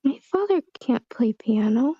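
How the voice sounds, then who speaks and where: A woman's voice: fairly high pitch, lively intonation, measured pace, dark tone, slightly rough, dry recording. A woman playing a curious, wary, polite young girl in a screenplay table read, hesitant and questioning, on a slightly muffled microphone.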